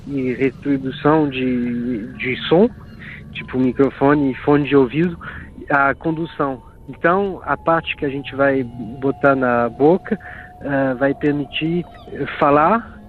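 A man's voice: on the high side, slight background noise. A man from France explaining things in an interview, searching for words as he goes. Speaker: interviewee, diver and project developer. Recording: narrow phone-like line, music behind.

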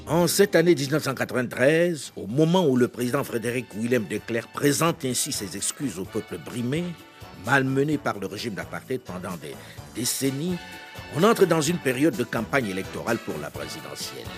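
A man's voice: mid-range voice, big pitch swings, moderate pace, soft background music. Solemn man narrating a radio documentary. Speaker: host of a history radio program.

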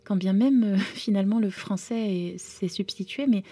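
A novelist, a woman whose first language is Romanian, answering interview questions thoughtfully with many pauses and fillers. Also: medium pitch, mellow, breathy edge. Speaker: novelist guest.

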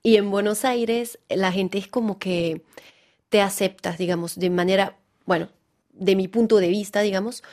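Chatty French actress with Venezuelan-tinged Spanish. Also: female voice, medium pitch, moderate pace. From France. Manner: chatty, relaxed, often laughing, full of "digamos" and "como que". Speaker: actress and theater director, guest. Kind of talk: radio interview. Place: radio studio.

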